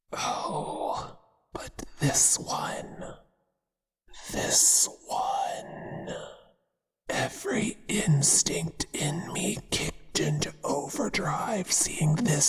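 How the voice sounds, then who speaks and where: A performer voicing a possessive male spider monster, a devoted but menacing lover, in a hushed ASMR whisper with a crooning, deliberate delivery. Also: medium pitch, husky, heavily acted. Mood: indignant, adoring. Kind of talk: whispered ASMR roleplay acting. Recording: long reverberant echo.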